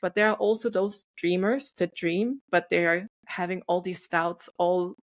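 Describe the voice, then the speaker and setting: A woman's voice: on the low side, fairly even intonation, a little slow. A young woman from Austria whose first language is German, hosting an interview podcast in English and asking questions in a thoughtful, curious way. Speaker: podcast host. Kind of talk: conversational interview.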